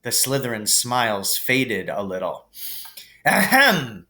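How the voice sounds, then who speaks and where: A man reading a children's novel aloud as an expressive storybook narrator. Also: medium pitch, a little slow.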